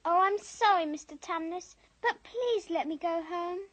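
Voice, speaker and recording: A female performer playing a polite, earnest, tender-hearted little girl in an animated fantasy. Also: very high voice, normal speed. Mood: pleading. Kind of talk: animated fantasy film acting.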